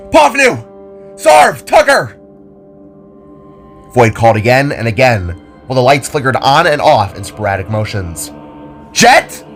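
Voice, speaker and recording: A man narrating a scripted audio drama as a dramatic storyteller. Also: mid-range voice.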